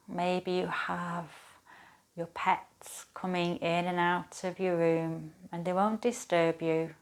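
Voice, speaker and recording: Low-pitched woman guiding gentle relaxation. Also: flat delivery. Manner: soothing, gentle, unhurried with pauses. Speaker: yoga teacher. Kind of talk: guided relaxation, yoga nidra instruction.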